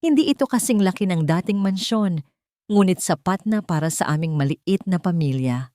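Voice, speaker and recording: A woman's voice: lowish voice, lively intonation, normal speed, dark tone, no room sound, studio quality. A woman narrating a scripted first-person romance story in an earnest, sentimental, dramatic way.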